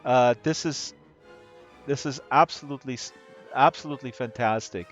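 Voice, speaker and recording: A man with a hoarse voice giving casual, excitable live-stream commentary, rambling and gushing with amazement. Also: mid-range voice, normal speed. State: hoarse from talking all day. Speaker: live streamer, virtual world tour guide. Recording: dull lo-fi stream audio with music underneath. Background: music playing.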